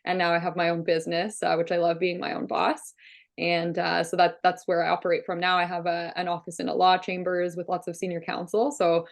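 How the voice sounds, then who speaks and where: Woman, 30s, Hungarian first language, Ontario-raised; lowish voice, confident, rambly interview answers. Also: monotone, a little fast, gravelly. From Ontario, Canada. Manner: confident, talks in run-on clauses with frequent fillers. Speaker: criminal defence lawyer, guest.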